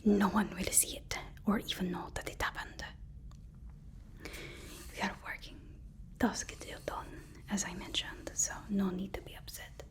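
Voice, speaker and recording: A woman playing a nervous, fawning personal assistant to a super villain in an ASMR roleplay, soft-spoken, deferential and hesitant. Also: mid-range voice, little pitch movement, measured pace, pauses often, lightly acted. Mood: soothing, flattering.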